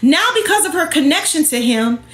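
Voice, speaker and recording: High-pitched, emphatic sassy woman. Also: lively intonation, a little fast, a bit bright, roomy sound, soft background music. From Jamaican heritage. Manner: emphatic, sassy, confrontational, colloquial, with rhetorical questions. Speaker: online host and women's empowerment speaker. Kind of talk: impassioned livestream talk to followers.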